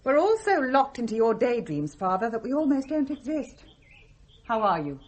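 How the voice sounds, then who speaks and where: An actress playing a tycoon's grown daughter in a radio drama, weary, dry and ironic. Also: female voice, a little high, very animated, moderate pace. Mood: mocking.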